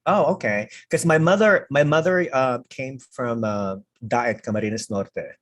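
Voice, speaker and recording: A man's voice: mid-range voice, a little slow. A man hosting a casual video call interview, chatty and prone to repeating his words. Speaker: pageant interviewer.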